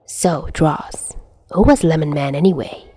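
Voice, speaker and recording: A woman's voice: sits low, measured pace, mellow, slight background noise. A woman voicing a grumpy, easily annoyed young man with a curt manner in an amateur audio story. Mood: curious. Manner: curt, irritable. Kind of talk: amateur voice acting in fan fiction reading.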